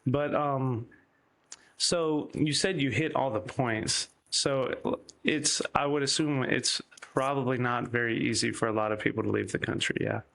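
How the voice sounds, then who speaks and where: Man, interview host, middle pitch, rambling questions, lots of ums and restarts. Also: measured pace, vocal fry, fairly bright tone. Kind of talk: casual interview hosting.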